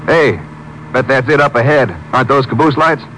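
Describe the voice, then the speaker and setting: An actor playing an eager, watchful junior Texas Ranger, plain-spoken and inquisitive, in a 1950s radio drama. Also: male voice, mid-range voice, normal speed, a bit bright, close to an everyday voice. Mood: curious, uneasy. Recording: noisy old 1950s radio recording.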